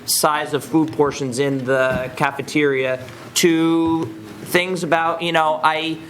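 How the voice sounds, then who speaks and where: A man's voice: a little high, level tone, slow, fairly bright tone, slight background noise. A man giving stammering, informal remarks at a public meeting, restarting often.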